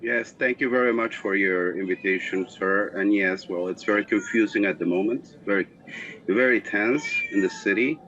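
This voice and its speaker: A man's voice: on the low side, a bit bright. Venezuelan man on a muffled remote line. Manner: halting, many fillers and repeated words. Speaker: political analyst guest. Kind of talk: live remote news interview. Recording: muffled remote line with faint background noise.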